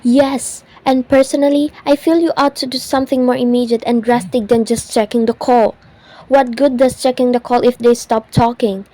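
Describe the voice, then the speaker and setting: A woman's voice: fairly high pitch, steady tone, normal speed, warm tone, close to an everyday voice, low-quality recording. A woman playing an anxious, demanding invalid housewife on the phone in an old-time radio thriller style. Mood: indignant, insistent. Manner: fretful, insistent, haughty. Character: anxious, demanding, self-pitying invalid housewife phoning the police.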